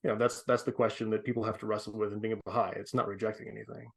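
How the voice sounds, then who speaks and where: A man's voice: fairly low pitch, level tone, very fast, creaky. A young man talking earnestly as a podcast guest, emphatic and full of filler words. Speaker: guest contributor. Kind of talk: conversational explanation of faith.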